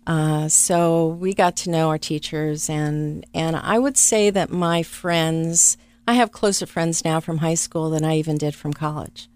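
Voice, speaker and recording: A middle-aged woman answering interview questions about her life and work, speaking softly and thoughtfully with frequent pauses. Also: low voice, steady tone, clean tone. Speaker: interview guest, travel business owner. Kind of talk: conversational interview.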